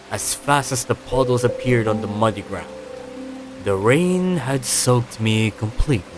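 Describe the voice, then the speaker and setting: A man narrating an audiobook story in a dramatic, measured way with pauses. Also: medium pitch. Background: music.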